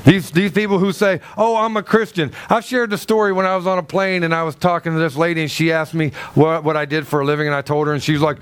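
Emphatic high-voiced male preacher. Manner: emphatic, animated, acts out quoted people; loud, carrying voice. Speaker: pastor. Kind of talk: sermon with storytelling.

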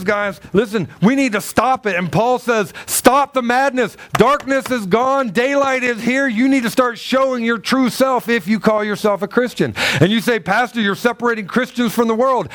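A man preaching a sermon as pastor, emphatic and animated, with a loud voice that carries, acting out the people in his stories. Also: sits high. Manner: loud, carrying voice. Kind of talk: sermon with storytelling.

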